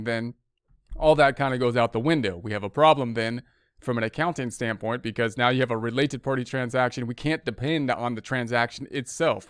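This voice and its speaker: Crisp, dry-sounding American male instructor. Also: medium pitch, dry recording. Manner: conversational, repeats and rephrases himself. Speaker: accounting instructor. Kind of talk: informal explanatory lecture over slides.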